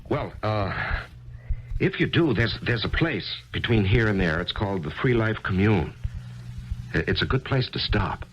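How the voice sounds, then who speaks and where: An actor playing a grieving failed author in a 1970s radio drama, speaking haltingly and narrating in the first person. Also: male voice, fairly low pitch, animated, close to an everyday voice. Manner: halting, hesitant speech with many pauses. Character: grieving failed male author, hesitant and searching. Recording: dull lo-fi 1970s radio recording with loud music.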